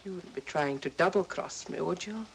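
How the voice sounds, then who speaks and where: A man's voice: high voice, moderate pace, creaky, fairly bright tone. An actor playing a nervous, grasping henchman and killer in a 1940s film thriller, speaking in clipped, colloquial lines. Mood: wary, reluctant. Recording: noisy, aged film soundtrack.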